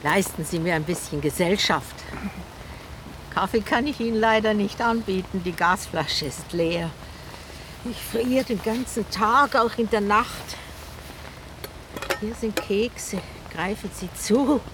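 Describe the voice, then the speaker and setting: A woman's voice: mid-range voice, takes it slowly, background noise, natural voice. An actress in a radio drama playing a talkative old river boatwoman with a wry, chatty, teasing manner. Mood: teasing, inviting. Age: older.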